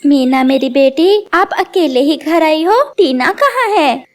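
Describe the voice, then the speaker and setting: A woman voicing a worried, gently scolding mother bird in a children's cartoon, with a concerned, admonishing manner. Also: high voice, lively intonation, slow pace.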